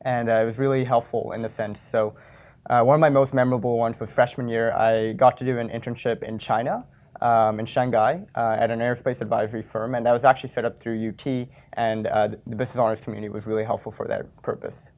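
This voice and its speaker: A man's voice: mid-range voice, level tone, fast, darkish tone. A young man from Texas, a senior business student talking about his internships in an easygoing way with ums and uhs. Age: young adult.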